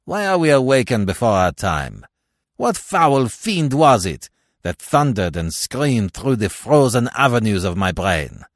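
A man voicing an embittered dead man railing from his grave in harsh, forceful, archaic speech for a storybook. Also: medium pitch, very animated, unhurried, dry recording, clean studio sound, pitched above the performer's own voice. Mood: furious, defiant. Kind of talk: dramatic storybook reading.

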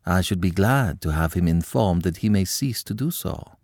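A man voicing a composed young noblewoman who speaks quietly and firmly. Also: low-pitched, lively intonation, dry recording, studio quality. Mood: calm firm. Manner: quiet, measured.

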